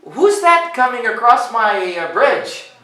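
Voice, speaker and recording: A man's voice: extremely high pitch, sing-song, slow, pushed and bright, pitched above the performer's own voice, full character voice. A man voicing a small, timid, friendly ogre for a children's storybook, with a hesitant, stammering, polite manner. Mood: cheerful, hesitant. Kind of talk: children's storybook read aloud. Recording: roomy, distant microphone.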